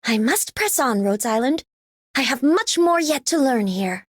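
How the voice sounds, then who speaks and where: An earnest young game girl, super high voice, determined, no room sound. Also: animated, unhurried, no room sound. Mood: earnest resolve. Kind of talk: scripted game character voice lines.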